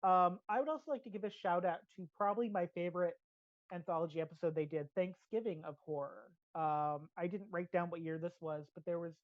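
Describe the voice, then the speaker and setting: An American woman with a fairly low voice talks casually through her opinions like a podcast host. She is chatty and drops in asides. The sound is very dry and a little dull, with limited bandwidth. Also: brisk, no room sound. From United States. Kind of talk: casual opinionated pop-culture review. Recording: slightly dull, band-limited audio.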